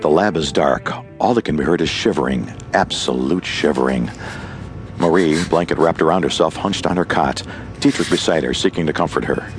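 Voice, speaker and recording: A man's voice: very deep voice, moderate pace. A man narrating a radio play, reading stage directions as dramatic present-tense storytelling. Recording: music bed behind the voice. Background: music.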